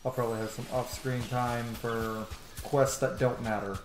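A man's voice: mid-range voice, flat delivery, normal speed. A chatty, gushing man in his thirties giving casual commentary as he hosts a game playthrough. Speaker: gaming host. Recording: roomy sound with faint noise.

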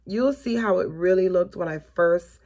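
A woman's voice: on the low side, normal speed, warm tone. A woman in her late thirties with a Southern US accent, chatting casually to viewers while doing her makeup in a small bedroom. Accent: Southern US (Florida). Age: late 30s. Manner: chatty, rambling, laughs often, addresses viewers as you guys. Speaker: beauty vlogger. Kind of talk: casual chatty get-ready-with-me vlog. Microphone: camera in front at close range.

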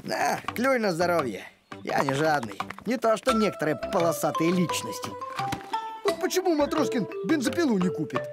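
An actor voicing a simple-hearted, excitable male talking dog in a cartoon, naive and a little boastful. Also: medium pitch, normal speed. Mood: grumbling. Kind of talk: animated cartoon voice acting. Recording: loud music behind the voice.

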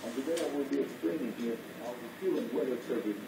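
A man's voice: mid-range voice, fast, gravelly. A man presenting a radio programme, heard through a shortwave receiver playing in a room. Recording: noisy distant shortwave broadcast, echoey room playback.

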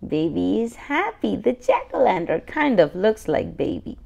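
A woman's voice: mid-range voice, very animated, measured pace, gravelly, a little mellow, lo-fi sound. A woman host reading a picture book aloud for young children, expressively, with exclamations and questions to the listener.

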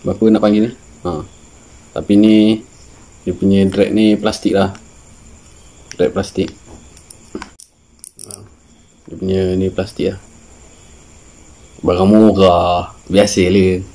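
A Malaysian man in his thirties chatting casually and haltingly as an informal vlogger, often searching for words. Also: deep voice, level tone, warm tone. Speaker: fishing channel vlogger. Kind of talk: casual unboxing chat. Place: small room indoors with guitars on the wall.

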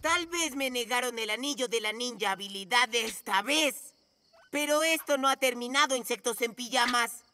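A woman's voice: on the high side, moderate pace, noisy background, close to an everyday voice. A woman voicing a boastful, petulant young boy ninja villain with bossy, theatrical cartoon delivery. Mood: defiant. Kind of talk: animated cartoon dub acting. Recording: loud music behind the voice.

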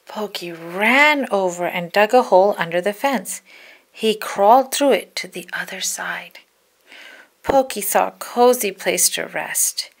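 A woman narrating a children's storybook in an expressive, deliberate storytelling manner. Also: medium pitch, vocal fry. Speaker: storybook read-aloud narrator.

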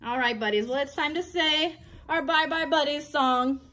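A woman's voice: high-pitched, moderate pace, pushed and bright. A woman in her thirties hosting a children's livestream, talking to young viewers in a playful, encouraging way. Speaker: children's puppet show host. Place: small home bedroom set, indoors.

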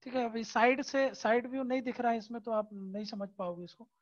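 A man teaching an exam-prep lesson over a screen recording, explaining slowly with many hesitation fillers and constant checks that his students understand. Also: extremely high pitch, muffled recording. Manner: keeps checking understanding with tag questions.

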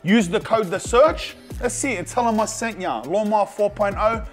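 A man's voice: sits high, normal speed, soft background music. A man reading a podcast sponsor spot in a pushy, jokey sales patter. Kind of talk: casual ad read.

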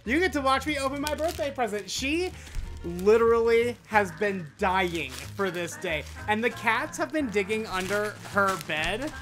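Male host, late 20s, American. Theatrical, gushing delivery with lively swings in pitch, chatting to camera. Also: a little slow, faint music behind. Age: late twenties. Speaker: host of a toy unboxing show. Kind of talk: excited unboxing chat to camera. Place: small room full of toy shelves, indoors. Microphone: desktop USB condenser microphone.

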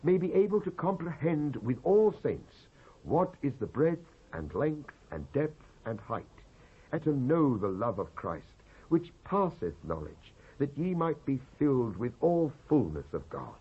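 British actor reading scripture with sweeping intonation. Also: male voice, mid-range voice, sing-song, slightly rough. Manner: solemn, declamatory, measured phrasing with pauses. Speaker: Bible reader, actor. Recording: dull lo-fi cassette transfer.

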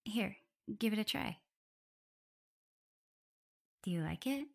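A woman playing a caring, flustered young omega werewolf in an intimate ASMR roleplay, soft and often breathy and whispery, fussing as she talks. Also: mid-range voice, expressive, takes it slowly, full character voice. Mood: tender, caring. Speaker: audio roleplay performer.

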